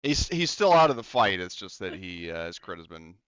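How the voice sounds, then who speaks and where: A man speaking casually and haltingly as the game master of a tabletop roleplaying game, thinking aloud. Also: deep voice, very animated, a bit bright, smooth, no room sound, dull audio.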